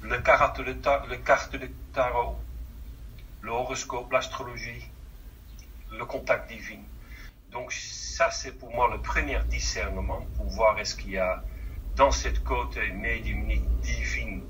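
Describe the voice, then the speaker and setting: Dutch-accented man, bright, hesitant. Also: mid-range voice, fairly even intonation, unhurried, far from the mic, a little hiss or hum, low-quality recording. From Netherlands. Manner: hesitant, searching for French words with many fillers. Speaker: guest medium. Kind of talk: radio interview.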